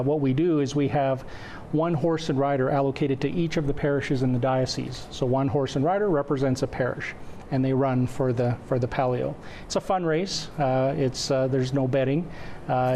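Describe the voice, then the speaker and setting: A man's voice: mid-range voice, warm tone, slight background noise. A man in his forties from western Canada whose first language is Croatian, speaking as an event organizer in an informal TV interview. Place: indoor TV studio. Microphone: lapel mic.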